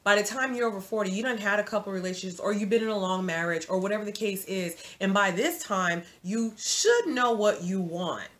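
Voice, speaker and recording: A woman's voice: medium pitch, fairly quick, few pauses. Chatty woman in her forties. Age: 40s. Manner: chatty, animated, addresses viewer as sis. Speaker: vlogger. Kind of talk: casual advice to viewers. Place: home room, indoors.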